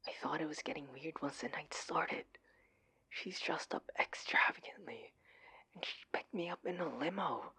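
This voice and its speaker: A man playing an anxious, adorkable husband in an intimate audio roleplay, speaking in a breathy, pleading manner. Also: on the low side, normal speed, a little mellow. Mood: panicked whispering. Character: adorkable, anxious, oblivious husband, affectionate and flustered.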